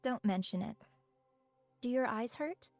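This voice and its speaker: A composed female researcher in a game drama, her voice high and poised. She probes with a curious, clinical interest that hides some menace. It sounds dry and close, over light music. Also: lively intonation, unhurried, gravelly, no room sound. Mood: friendly, curious. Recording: music behind the voice.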